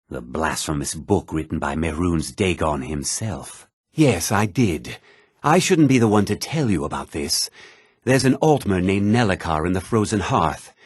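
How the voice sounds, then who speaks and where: A man playing a self-important, proud and scheming townsman in a fantasy video game, speaking in a theatrical, formal and deliberate way with a husky voice. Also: low voice, a little mellow, dry recording, studio quality. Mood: confiding, guarded. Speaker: video game character voice actor.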